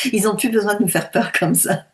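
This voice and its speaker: A woman in her sixties who works as a medium, explaining calmly and deliberately in conversational talk. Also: medium pitch. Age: 60s.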